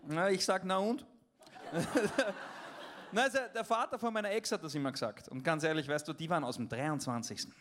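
A man's voice: on the high side, fairly quick, a bit bright. A young man from Vienna with a Viennese accent doing a joking stand-up set, talking to the crowd on a club stage. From Vienna, Austria. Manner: loose, joking, talks to the crowd. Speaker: stand-up comedian.

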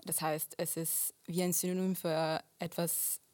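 A young woman from Vienna speaks in a radio studio. She answers thoughtfully, pausing and rephrasing as she searches for words. Her voice sits low and stays fairly level, close and dry. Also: flat delivery, a little mellow, dry recording. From Vienna, Austria. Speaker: musician guest.

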